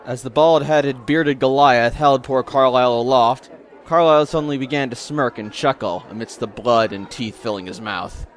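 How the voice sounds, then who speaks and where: A man's voice: medium pitch, moderate pace, some chatter behind, slight background noise. A man reading scripted story narration in a theatrical, wordy storytelling manner.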